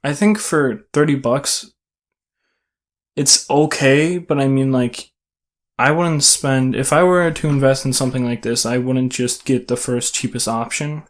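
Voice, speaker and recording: A man's voice: mid-range voice, a little slow. Casual guy wrapping up a review. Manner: casual, offhand, thinking aloud. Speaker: product reviewer. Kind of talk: casual unscripted product review. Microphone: better studio microphone.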